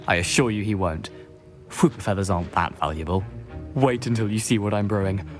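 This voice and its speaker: A man's voice: on the low side, fairly quick, soft background music, natural voice. A man acting an eager, mischievous teenage wizard in a video game, with a British accent and chummy, persuasive manner.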